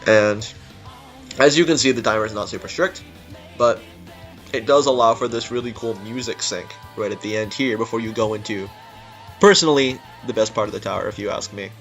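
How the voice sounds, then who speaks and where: A man's voice: fairly low pitch, brisk, a bit bright. Rambling casual man over music. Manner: rambling, informal. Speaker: game level creator giving developer commentary. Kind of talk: casual unscripted gameplay commentary. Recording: music behind the voice. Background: game music.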